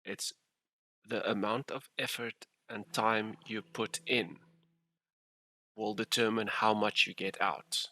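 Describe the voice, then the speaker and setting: A man's voice: fairly low pitch, steady tone, takes it slowly, sharp tone. A man hosting a casual livestream interview, talking in loose run-on phrases and laughing often. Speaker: livestream host.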